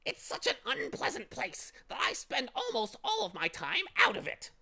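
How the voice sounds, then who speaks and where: A very high, shrieky storybook bird, swooping wildly in pitch. It is a meddling, cackling pest that revels in twisting words and pities itself with theatrical relish. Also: male voice, huge pitch swings, normal speed, gravelly, sharp tone, husky, full character voice. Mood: mournful disgust. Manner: interrupting, gleefully literal, theatrical. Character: cackling, meddling, self-pitying filthy bird demon wannabe.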